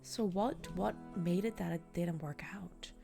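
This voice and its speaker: Gravelly-voiced Dutch woman streamer. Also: on the low side, unhurried, music in the background. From Netherlands. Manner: chatty, answers chat, frequent laughs and restarts. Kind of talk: casual chat with viewers while gaming.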